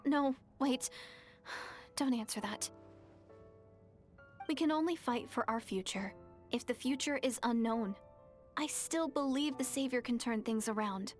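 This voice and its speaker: Actress doing earnest young game heroine, high voice, sincere, gentle. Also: female voice, unhurried, long pauses, faint music behind, lightly acted. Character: earnest young woman, hopeful and devoted.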